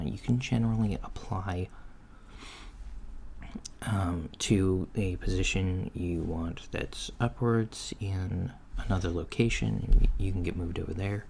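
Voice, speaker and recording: A man playing a mild, matter-of-fact alien floor manager in a soft-spoken, hesitant ASMR job interview roleplay. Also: creaky. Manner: hesitant, low-key, procedural, murmured acknowledgements. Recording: lo-fi with faint background noise. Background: computer keyboard typing and clicks.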